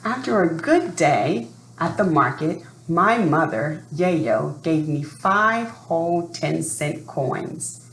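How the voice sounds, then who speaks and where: A woman presenting and reading a picture book to children in an expressive storytelling style, addressing young listeners directly. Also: sits low. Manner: expressive, asks young listeners questions and pauses. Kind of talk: read-aloud storytelling for children. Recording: roomy sound with faint background noise.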